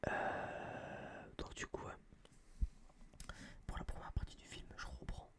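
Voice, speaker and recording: A young man whispering close to a binaural microphone in a casual, rambling, blunt ASMR review style, with a breathy, unvoiced voice. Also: takes it slowly, fairly bright tone. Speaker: ASMR channel host reviewing a film.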